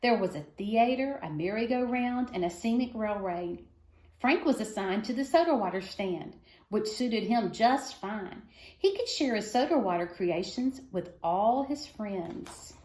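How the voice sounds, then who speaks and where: Higher-voiced woman reading aloud, roomy sound. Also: a little mellow. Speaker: reader of a children's book. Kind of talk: read-aloud storytelling for schoolchildren.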